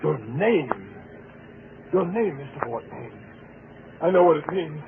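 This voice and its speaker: An actor playing an anguished, haunted husband with a guilty conscience in a 1940s radio drama, agitated and pleading. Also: male voice, fairly high pitch, expressive, slow, gravelly, phone-quality sound, heavily acted. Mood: pleading, desperate. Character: anguished, guilty husband who killed his wife, haunted and jumpy. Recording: scratchy band-limited 1940s radio recording.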